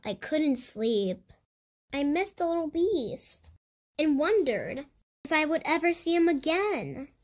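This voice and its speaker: A woman reading a picture book aloud to children in an animated storytelling style. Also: sits high, slow pace. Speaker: children's storybook reader and host. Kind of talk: animated read-aloud narration for kids.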